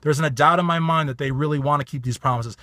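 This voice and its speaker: A man in his thirties with a raspy voice, giving a blunt, emphatic bodybuilding opinion vlog from a parked car on a phone held at arm's length. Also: fairly high pitch, fast, pushed and bright, dry recording, studio quality. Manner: blunt, emphatic, repeats stock phrases. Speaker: bodybuilding commentator.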